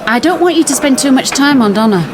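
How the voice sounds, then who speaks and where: A brisk woman detective chief. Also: high voice, expressive. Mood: dry. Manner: brisk, dry humour. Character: senior woman detective, brisk team leader. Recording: radio drama with background noise.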